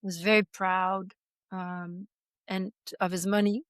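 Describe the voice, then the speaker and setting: A woman in her sixties from Belgium, recounting her childhood as an interview guest, halting and reflective with many pauses and restarts. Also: mid-range voice, little pitch movement, no echo, clean studio sound. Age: 60s. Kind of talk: personal testimony in interview.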